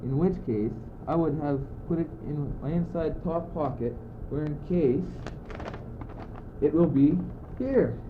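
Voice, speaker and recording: A fussy, bossy young courtier, acted by a teenage boy in an amateur radio play. He is high-voiced for a male and reasons pedantically and emphatically. The sound is very thin and tinny, with some noise. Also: measured pace, lightly acted. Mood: brisk, reasoning. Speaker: student actor. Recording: muffled, tinny old amateur recording, noisy.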